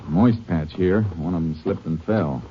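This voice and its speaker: Brief: actor doing a level-headed lawman for a 1950s radio western, very deep, clipped, dull old broadcast sound. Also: normal speed, slight background noise, natural voice. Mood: calm. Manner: calm, clipped, matter-of-fact. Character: steady, shrewd male Texas Ranger tracker. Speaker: Texas Ranger and narrator. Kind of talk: 1950s radio drama acting.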